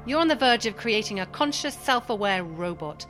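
An actress as a cynical woman doctor in a radio drama, with music clearly behind her. Her mid-pitched voice is pointed and argumentative, that of a disillusioned believer who will not back down. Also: animated, normal speed, fairly bright tone, natural voice. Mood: accusing, cynical. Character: defiant, cynical female doctor, disillusioned former army chaplain.

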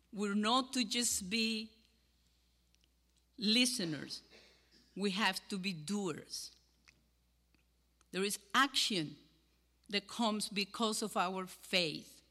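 An older woman from Cuba with a Cuban Spanish accent, giving an earnest sermon to a congregation and pausing between phrases. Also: mid-range voice, bright, forceful tone. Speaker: guest speaker. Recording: hall with long reverb.

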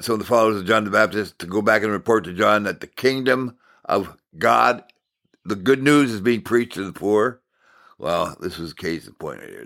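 An American man from Minnesota giving an informal sermon as a Bible teacher, telling stories in deliberate, halting phrases with emphatic repetition. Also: lowish voice, vocal fry, a bit bright. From Minnesota, United States.

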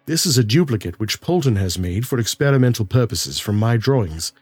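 A man voicing a calm, assured detective doctor who speaks reassuringly. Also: medium pitch, a little fast, breathy edge, no echo, soft background music.

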